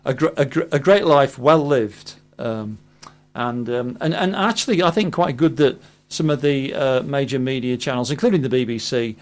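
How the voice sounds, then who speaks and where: A man with a British accent hosting a casual radio interview in a hesitant, halting manner. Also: mid-range voice. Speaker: radio host.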